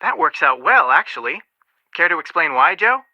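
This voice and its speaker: A man's voice: a little high, big pitch swings, normal speed, sharp tone, no echo, lo-fi sound, heavily acted. An actor playing an earnest, level-headed young male detective in a video game, speaking articulately. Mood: wry, helpful. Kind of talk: video game phone dialogue.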